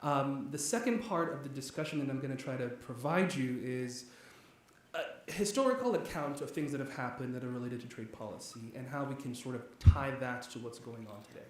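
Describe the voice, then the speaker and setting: A man raised in Nigeria gives an excited, talkative public lecture on economics, with plenty of ums and restarts. His voice sits at a middle pitch. It rings out in a very echoey church hall over faint background noise. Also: fairly quick. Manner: energetic, talkative, with frequent fillers and restarts. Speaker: economics professor giving a guest lecture.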